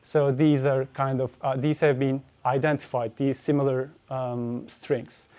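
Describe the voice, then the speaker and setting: A man's voice: medium pitch, flat delivery, a little slow, dark tone. A man giving a technical conference talk, hesitant, with many fillers and restarts. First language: Turkish. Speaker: conference speaker.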